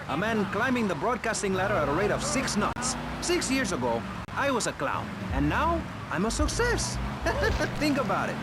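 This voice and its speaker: High, swooping, self-important male radio host. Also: very noisy. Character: vain, exasperated male public radio talk show host. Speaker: radio talk show host. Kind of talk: satirical radio talk show hosting.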